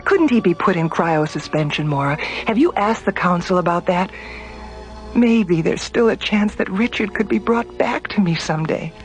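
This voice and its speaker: An actress playing a grieving, stubborn, bitter wife in a radio drama. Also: female voice, fairly low pitch, lively intonation, moderate pace, soft background music, natural voice, poor audio. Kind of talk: emotional radio drama acting.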